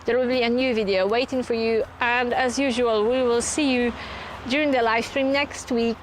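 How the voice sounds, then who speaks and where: A woman's voice: fairly high pitch, fairly even intonation, normal speed, a little hiss or hum, low-quality recording. A woman from Poland with a Polish accent, chatting casually on a live stream from her touring caravan and answering viewer comments. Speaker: channel host.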